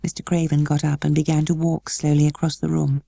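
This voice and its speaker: A woman narrating a literary story as an audiobook, measured and expressive. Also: sits low, vocal fry, no room sound.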